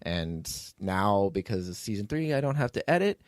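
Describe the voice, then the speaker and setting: A man's voice: lowish voice, big pitch swings, normal speed, dry recording, studio quality. A man hosting a podcast as its writer and producer, telling behind-the-scenes stories in a casual, rambling way.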